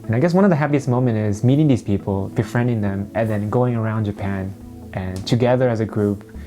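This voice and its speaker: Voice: medium pitch, a little fast, dark tone, faint music behind. A person from California answering interview questions with enthusiasm.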